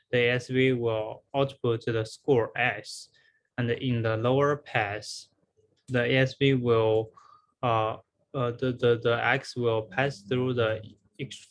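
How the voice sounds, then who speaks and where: A man presenting research as a scripted academic talk, in a somewhat halting, explanatory way. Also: mid-range voice, fairly bright tone. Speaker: researcher presenting a conference paper.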